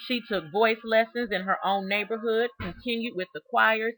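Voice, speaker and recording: A woman reading a children's biography aloud in an expressive, deliberate storytelling manner, stressing key words. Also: a little high, no room sound, poor audio. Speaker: storybook reader.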